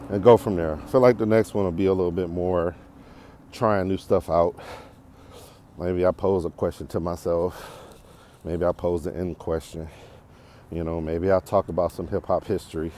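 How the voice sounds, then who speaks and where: An American man in his forties talking casually and unscripted as a podcast host, in a reflective, halting, rambling way while walking outdoors. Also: deep voice, a little mellow. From Detroit area, United States. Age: 40s. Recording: clearly noisy outdoor walking recording.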